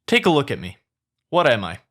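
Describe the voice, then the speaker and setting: Grumpy male ogre, short-tempered, clipped and sarcastic, pitched a little high, read by a man. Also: huge pitch swings, fairly quick, a bit bright. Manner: curt, sarcastic.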